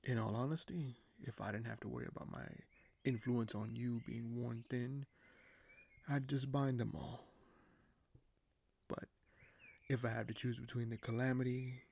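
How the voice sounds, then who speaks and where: An actor playing a scheming, courteous young king in an audio roleplay, speaking formally and hesitantly with deliberate pauses. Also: mid-range voice, creaky, darkish tone. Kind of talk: audio roleplay acting, addressed to the listener.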